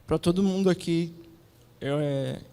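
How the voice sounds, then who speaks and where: A Brazilian man commenting from the audience, polite and tentative, with a halting delivery. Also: fairly high pitch, clean tone. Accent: Brazilian Portuguese. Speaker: audience member making a comment. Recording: reverberant hall, distant quiet voice.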